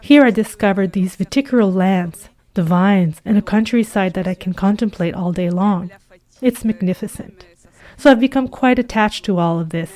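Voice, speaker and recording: A woman from Ukraine, a harvest worker, speaking interview answers as a voice-over in a steady, matter-of-fact way. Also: medium pitch, normal speed. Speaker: harvest worker at the pressing center.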